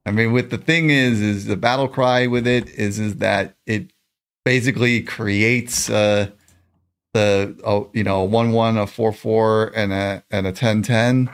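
A man with a raspy voice co-hosting a gaming show, chatting off the cuff in a laid-back, rambling way and repeating his words. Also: fairly low pitch, steady tone, unhurried. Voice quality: raspy, gravelly. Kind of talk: casual unscripted chat about a card game.

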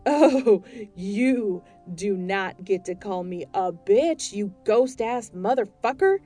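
A fiery young homeowner yells and swears at everyone around her, as a woman narrator acts her out. Her voice stays mellow even when loud. Soft music plays under the story. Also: mid-range voice, slow pace. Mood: furious. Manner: shouting, swearing.

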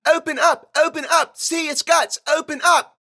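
Man putting on a shrill, bloodthirsty little girl. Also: sing-song, normal speed, sharp tone, exaggerated voice. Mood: excited, demanding. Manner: babbling, giggly, repetitive and insistent. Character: bloodthirsty, squealing little girls, gleefully creepy.

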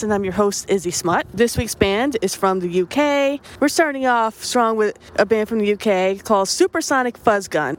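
A woman hosting a casual music podcast, chatty and enthusiastic, with a congested, stuffy voice from a cold. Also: mid-range voice. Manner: chatty, enthusiastic, frequent fillers and restarts. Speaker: podcast host.

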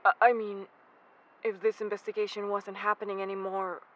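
An actor playing a gentle, anxious young man in an audio drama, speaking hesitantly. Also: high voice, completely flat delivery, a little fast, lo-fi sound. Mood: anxious, vulnerable. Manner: hesitant, stammering.

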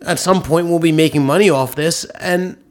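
Nasal guy on a podcast, kinda high voice, explaining savings all excited. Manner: fast, enthusiastic, opinionated explaining. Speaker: podcast co-host, author. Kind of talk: casual podcast chat.

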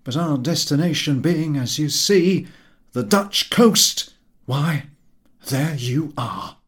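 A man's voice: on the high side, lively intonation, takes it slowly, a bit bright, voice pushed up. Man putting on a folksy, stubborn old yacht captain. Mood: genial, explaining. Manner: deferential, folksy rustic speech. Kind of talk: storybook character voice.

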